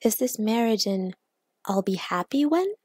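A woman's voice: on the high side, sing-song, darkish tone, breathy edge, no echo, studio quality, heavily acted. Woman voicing an anxious, hesitant young man. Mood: uneasy, deliberating. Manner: hesitant, halting, self-questioning. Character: anxious, self-doubting young man arguing with himself.

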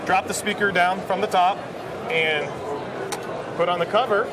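A man's voice: high-pitched, fairly even intonation, moderate pace, noisy background. A middle-aged American man casually explaining a product in an interview, as a company executive. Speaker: company president presenting a product. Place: busy exhibition hall booth, indoors. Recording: loud trade show crowd behind.

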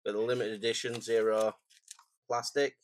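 A man, croaky and phlegmy from a cold, chatting casually and rambling through a stationery unboxing at his desk. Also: fairly low pitch, flat delivery, normal speed. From England. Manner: rambling, self-deprecating, chatty asides. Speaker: stationery vlogger. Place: home desk, indoors.

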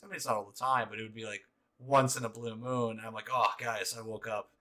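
Animated late-twenties man ranting playfully. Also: medium pitch, a little fast. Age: late 20s. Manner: animated, emphatic, jokey complaining. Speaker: co-host of a gaming channel. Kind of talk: casual chat while gaming.

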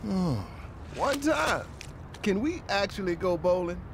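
Casting: wry game-cutscene sidekick, fairly high voice, wildly varied pitch, loud noise behind. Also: male voice, very animated, takes it slowly, heavily acted. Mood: pleading. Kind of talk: animated video game cutscene acting.

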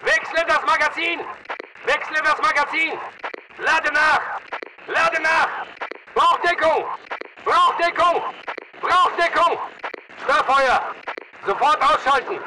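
An actor playing a tough, disciplined mercenary soldier in a video game, giving clipped military commands. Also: male voice, very high-pitched, slow, long pauses, distant mic, very noisy. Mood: barking, urgent. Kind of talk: military battle chatter, short barked commands.

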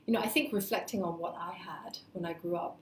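A woman's voice: lowish voice, a little fast, reverberant, slight background noise. A woman from Hong Kong, a school founder, giving earnest, explanatory answers in a live interview. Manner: earnest, explanatory, with many fillers and restarts.

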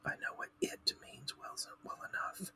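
A man voicing a blunt, literal-minded, argumentative duck in a storybook, in a breathy whisper. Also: unhurried, bright, forceful tone. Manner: questioning, argumentative.

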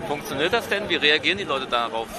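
A man's voice: a little high, expressive, fast talker, a crowd in the background. A man doing a casual street interview as a podcast host, recorded outdoors on a handheld microphone. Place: outdoors in a busy city street. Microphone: handheld field microphone.

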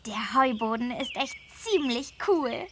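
A woman voicing a curious, excited young girl on holiday in a children's game, eager and full of wonder. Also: a little high, a little slow, slight background noise. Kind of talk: children's game dialogue acting.

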